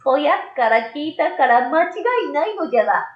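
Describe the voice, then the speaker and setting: A woman's voice: sits high, lively intonation, highs cut off. Woman playing a small old yokai, echoey room. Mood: matter-of-fact. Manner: folksy old-man way of talking, drawn-out endings. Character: small hairy one-eyed old yokai, curious and easygoing. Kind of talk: storybook read-aloud.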